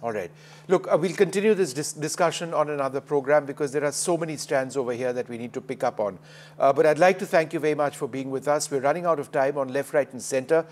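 A man hosting a studio panel debate, cutting in briskly to steer the speakers and keep time. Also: on the high side, a little mellow. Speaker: debate show host.

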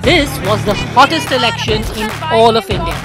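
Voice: medium pitch, lively intonation, a little fast, loud music behind the voice. A narrator giving a punchy voice-over for a news channel promo.

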